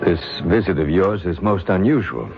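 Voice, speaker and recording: A man's voice: sits low, expressive, moderate pace, faint music behind. An actor playing a skeptical, unbending man of authority, formal and brusque in old-time radio drama style. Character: skeptical, unbending male authority, senator or chief. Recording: noisy, dull lo-fi old radio recording.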